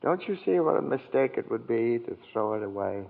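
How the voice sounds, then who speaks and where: An actor playing a gentle, bumbling elderly male angel who talks timidly and politely. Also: mid-range voice, animated, fairly quick, creaky, big-room sound. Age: older. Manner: timid, polite, often says sir. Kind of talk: 1940s radio acting. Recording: tinny 1940s radio broadcast with music.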